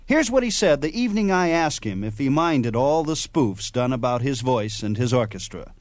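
A man with a mid-pitched, lively voice. He talks conversationally as a radio host introducing a clip. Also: normal speed.

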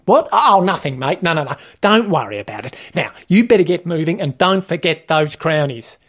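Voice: medium pitch, animated, moderate pace, fairly bright tone. A narrator voicing a brash, bossy Australian man who talks in blunt, matey, sweary slang for a comic story. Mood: matey, patronising.

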